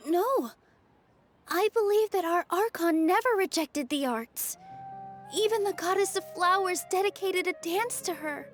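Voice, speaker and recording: Game heroine: young dancer, high voice, sweet, earnest, caring, soft music underneath. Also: female voice, measured pace. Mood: earnest, defiant. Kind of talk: video game voice acting.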